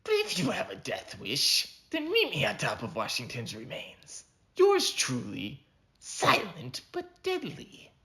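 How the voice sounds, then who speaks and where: A man voicing a taunting, dastardly masked assassin from a short story, with drawn-out, mocking delivery in an exaggerated voice. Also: medium pitch, wildly swooping pitch, bright, forceful tone, voice pushed up, heavily acted. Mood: menacing.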